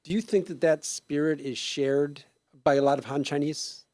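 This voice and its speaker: A man's voice: a little high, fairly even intonation, moderate pace, a little mellow. A man in the audience asks the speaker a question, opening hesitantly with a filler. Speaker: audience member asking a question.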